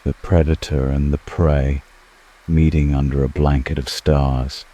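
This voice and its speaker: Man voicing a very deep, slow, seductive ancient vampire. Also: little pitch movement, mellow. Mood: teasing, seductive. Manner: slow, formal, teasing, intimate. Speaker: ASMR roleplay performer. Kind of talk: scripted ASMR roleplay.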